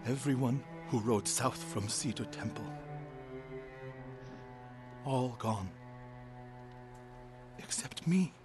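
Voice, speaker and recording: A man's voice: mid-range voice, takes it slowly, pauses often, over music, natural voice. Man acting earnest, mid-voiced warrior monk. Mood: anguished. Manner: earnest, heartfelt, weighty delivery. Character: earnest male warrior monk, loyal, grieving, burdened. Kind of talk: dramatic video game acting.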